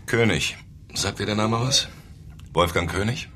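An actor in a German radio drama playing a streetwise newspaper reporter with a casual, probing, laconic way of talking. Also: male voice, lowish voice, measured pace, pushed and bright, a little hiss or hum. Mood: coaxing.

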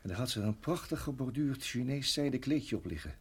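An actor in a radio play voicing a reflective, middle-aged married farmer who reminisces in a measured, halting way. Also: male voice, medium pitch, lively intonation, breathy edge. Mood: sombre, halting. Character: weary, reflective married farmer recalling his years in Rhodesia.

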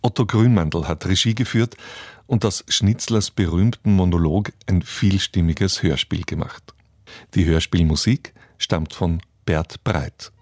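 A man reading a scripted, measured literary introduction as a radio presenter. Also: low voice, darkish tone.

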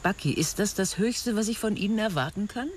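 An actor playing a wistful, wry, world-weary middle-aged confidant in German radio drama dialogue. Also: male voice, high voice, expressive, fairly quick. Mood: wistful, teasing. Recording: faint background noise.

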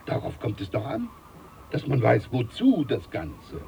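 An actor playing a boastful, condescending older ship's doctor in a radio play. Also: male voice, mid-range voice, huge pitch swings, unhurried, vocal fry, mellow, slight background noise, heavily acted. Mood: pompous. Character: pompous older ship's doctor, self-important.

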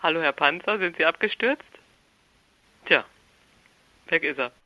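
A man's voice: fairly high pitch, animated, a little slow, bright, forceful tone, rough recording. A skeptical, matter-of-fact man in a spontaneous conversation.

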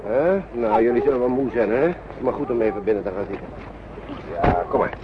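An actor playing a kindly, patient uncle coaxing small children along in a naturalistic radio drama, often repeating himself. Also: male voice, mid-range voice, fairly quick, natural voice. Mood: caring, encouraging. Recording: dull old radio recording, loud noise.

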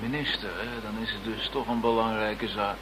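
An actor playing an unruffled, matter-of-fact British chief police inspector in a radio drama. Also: male voice, on the low side, flat delivery, fairly quick, close to an everyday voice. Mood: explanatory. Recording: faint background noise, old radio play.

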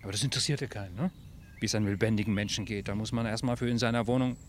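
Fast-talking, brusque actor as a defensive male neighbour. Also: lowish voice, slight background noise, natural voice. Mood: bitter, rambling. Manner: brusque, colloquial. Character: defensive, bitter man, a neighbour and father. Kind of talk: radio play imitating a documentary interview.

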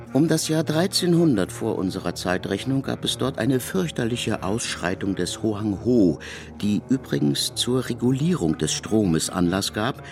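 A man reading literary narration for radio in a measured, articulate way. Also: lowish voice, creaky, darkish tone.

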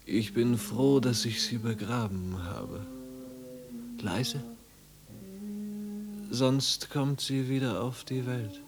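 A morbid, lovesick man in a 1950s German radio play, mid-pitched and slow. His delivery is dreamy and solemn, dwelling tenderly on death. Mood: morbidly tender. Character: morbid, lovesick man doting on dead love.

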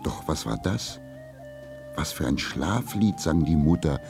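A man narrating a children's radio play as a storyteller, with measured, deliberate phrasing. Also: a bass voice, lively intonation, gravelly, over music, exaggerated voice. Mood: calm, storytelling. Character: male storyteller of a children's tale, unhurried.

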